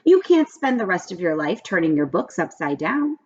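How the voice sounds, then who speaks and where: A woman's voice: on the low side, very animated, normal speed, darkish tone, breathy edge, dull audio. A woman voicing a firm, encouraging, no-nonsense female schoolteacher in a storybook. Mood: stern. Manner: insistent, no-nonsense.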